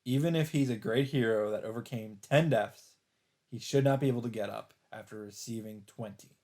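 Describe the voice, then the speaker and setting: A man doing a let's play, reading a visual novel aloud as dramatic narration in halting phrases, with some off-the-cuff reactions. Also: medium pitch, a little mellow. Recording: music behind the voice. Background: game soundtrack music.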